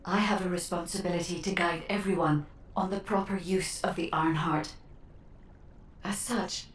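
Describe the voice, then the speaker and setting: A grave female guide, played by a woman, speaks formally in a slightly low voice. The sound is roomy and a little distant, as solemn advice in a game. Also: natural voice. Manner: formal, deliberate, solemn exposition. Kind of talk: video game voice acting.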